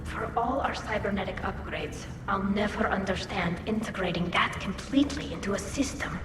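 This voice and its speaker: A woman's voice: mid-range voice, moderate pace, lightly acted. A woman playing a capable, earnest young alien engineer and admiral in a video game. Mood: wry, matter-of-fact. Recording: roomy reverb, distant, noisy battle ambience.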